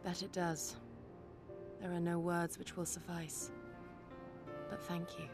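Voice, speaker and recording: Low-voiced, formal warrior woman. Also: level tone, over music. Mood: humble gratitude. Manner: formal, archaic, measured speech. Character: dutiful, courteous female warrior retainer, humbly formal.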